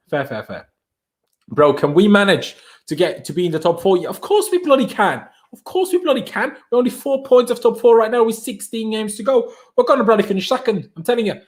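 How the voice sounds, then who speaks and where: A man from Austria with a slightly raised pitch, hosting a relaxed live stream. He talks in a loose, chatty way and answers viewer comments one after another, calling people bro.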